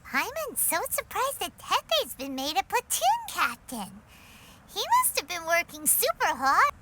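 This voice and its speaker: Tiny excitable fairy sidekick for a game: childlike, extremely high, unhurried. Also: female voice, heavy background noise, full character voice. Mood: curious. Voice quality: squeaky, childlike. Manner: speaks of self in third person, exclamatory. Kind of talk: animated video game voice acting. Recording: music behind the voice.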